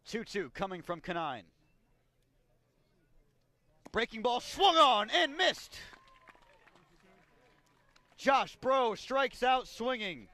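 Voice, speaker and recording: A man calling baseball play-by-play for radio in a measured delivery that builds on big plays, working as a broadcaster at an outdoor ballpark. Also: extremely high pitch, very animated, pushed and bright, dry recording. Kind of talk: radio sports commentary.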